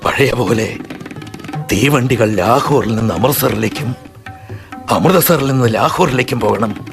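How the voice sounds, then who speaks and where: An actor playing a grieving elderly grandfather who survived the partition, speaking slowly and gravely in a radio play. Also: mid-range voice, over music. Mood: tender, resigned. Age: older. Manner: slow, grave, emotional. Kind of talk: radio drama acting.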